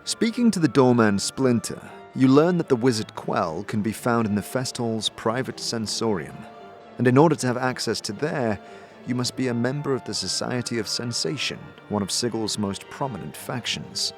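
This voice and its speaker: A man narrating a fantasy audio drama as a storyteller, in a deliberate, theatrical scripted style. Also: mid-range voice, animated. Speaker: narrator and game master. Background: background music.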